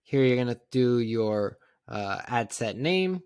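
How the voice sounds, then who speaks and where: A man narrating a casual step-by-step software tutorial in a plain, conversational, instructional way. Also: mid-range voice, expressive, slow pace, clear, resonant tone, no echo, clean studio sound. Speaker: tutorial presenter.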